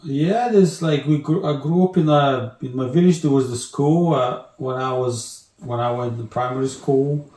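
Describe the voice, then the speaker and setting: A man from Bulgaria with a Bulgarian accent, chatting as an interview guest in a halting way with restarts and many fillers. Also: mid-range voice, a little mellow, echoey room. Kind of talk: casual interview chat.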